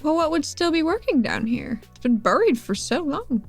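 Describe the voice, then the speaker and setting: Higher-voiced woman chatting in a tabletop game. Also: big pitch swings, moderate pace, soft background music. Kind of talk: casual in-character improvised chat.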